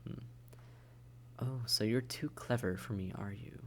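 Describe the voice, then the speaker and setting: A man's voice: fairly low pitch, a little slow, a bit bright, slight background noise. A man playing a suave, condescending vampire in an intimate audio roleplay, speaking to the listener in a polite, wry, teasing and articulate way. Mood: mocking, resigned.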